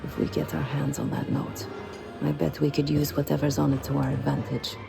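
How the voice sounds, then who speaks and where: A cool, shrewd woman spy speaks in a low register with some fry. She briefs in a composed, dry, confiding manner, as in a video game cutscene, with music clearly behind her. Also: level tone, brisk. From outside the United States. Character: cool, shrewd female spy posing as trophy wife. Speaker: covert operative. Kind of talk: acted game cutscene dialogue.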